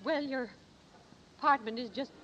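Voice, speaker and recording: A woman's voice: high-pitched, a bit bright, lo-fi sound, soft background music. An actress playing a flustered landlady who stammers and halts her words, in a 1940s radio play. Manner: stammering, halting speech.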